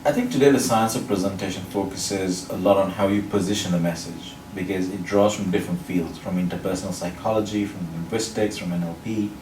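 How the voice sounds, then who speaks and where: A man from Sri Lanka, a public speaking champion, answering interview questions in a measured, explanatory way, with a mostly neutral international accent. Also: low voice, fairly even intonation. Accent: neutral international English, faint Sri Lankan. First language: Sinhala or another Sri Lankan language. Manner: measured, explanatory, builds points in lists. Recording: roomy echo, mic at a distance, faint noise.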